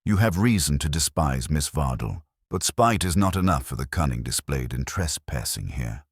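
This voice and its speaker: A man voicing a stern, pedantic detective genius in an audiobook, with slow, formal, commanding speech. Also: extremely low pitch, expressive, breathy edge, no room sound, clean studio sound, heavily acted. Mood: measured, probing. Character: imperious, calm, stern male detective genius, pedantic and unyielding.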